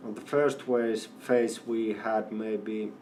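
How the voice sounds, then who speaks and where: Halting man in panel interview. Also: lowish voice, fairly even intonation, a little mellow, slight background noise. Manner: halting, many fillers and restarts. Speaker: company representative. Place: event venue. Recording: distant mic with faint ambience.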